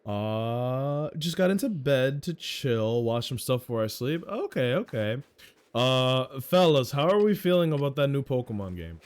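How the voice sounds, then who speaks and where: Loud, profane young man streaming. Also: mid-range voice, big pitch swings, a little slow, clean tone. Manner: rambling, profane, self-mocking. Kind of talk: casual live-stream chat while gaming.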